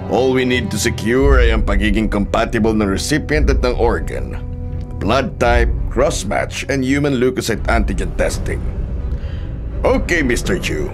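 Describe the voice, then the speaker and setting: Male actor as evil middle-aged surgeon, mocking, slow, wildly varied pitch, Tagalog-English mix, radio drama. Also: lively intonation, music in the background, lightly acted. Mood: smug, businesslike. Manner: taunting, theatrical, switching between Tagalog and English. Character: menacing, mocking surgeon father who harvests organs. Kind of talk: Filipino radio drama acting.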